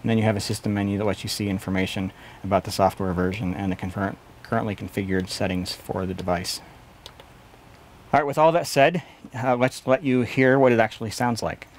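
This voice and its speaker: A man's voice: lowish voice, steady tone, fairly quick, a little hiss or hum. A man in his forties giving an explanatory product review at a desk in a small studio office. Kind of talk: explanatory gear review to camera.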